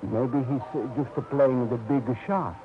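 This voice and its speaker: A man's voice: medium pitch, steady tone, a little slow, close to an everyday voice. An actor playing a worried old Italian immigrant father with an Italian accent and broken English, pleading anxiously, in 1940s radio acting. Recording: muffled old radio recording.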